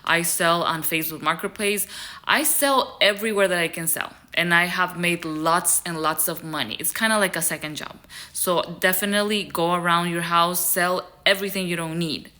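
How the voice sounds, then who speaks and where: A woman's voice: on the low side, fairly even intonation, moderate pace, pushed and bright. A young woman from Ecuador giving rambling, earnest advice to her viewers in a casual vlog, with lots of fillers. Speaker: YouTube creator. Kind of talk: casual vlog talk to camera.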